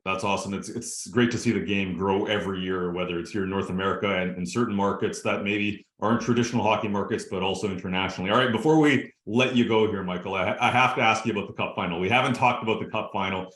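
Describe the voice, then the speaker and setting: A man's voice: fairly low pitch, fast talker, few pauses, a bit bright, roomy sound. A man, a sports show host asking long, eager, rambling interview questions.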